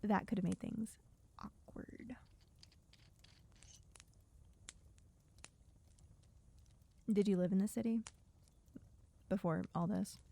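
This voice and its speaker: Woman acting as guarded dystopian survivor, creaky mellow voice, sardonic, bossy, dry delivery, audio roleplay. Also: medium pitch. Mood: probing, teasing. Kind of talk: audio roleplay acting, speaking to a silent listener.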